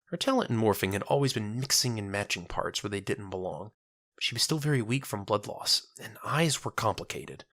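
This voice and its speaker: Low-pitched, bright male story narrator. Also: animated, brisk, no echo. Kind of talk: scripted dramatic story narration.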